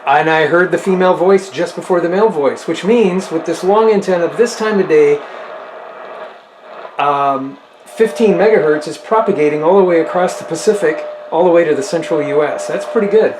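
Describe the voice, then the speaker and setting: A man's voice: a little high, moderate pace. Man thinking aloud in a noisy, echoey space. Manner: thinks aloud while tinkering. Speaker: host of a radio hobby video. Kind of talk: casual hands-on demonstration, talking to camera.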